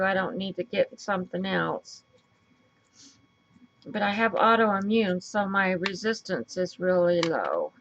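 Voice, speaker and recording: A woman with a raspy voice and a stuffy nose, chatting casually as she works through a crafting tutorial and thinks aloud. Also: mid-range voice, fairly bright tone, lo-fi sound, slight background noise. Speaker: craft video host.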